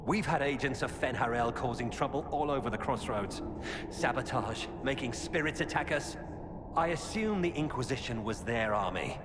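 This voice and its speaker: An actor playing a weary, earnest former knight turned defector, giving grave, urgent warnings in a video game. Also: male voice, mid-range voice, lively intonation, normal speed, natural voice. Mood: urgent, pleading. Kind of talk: dramatic video game dialogue. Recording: cavernous reverb with loud background noise.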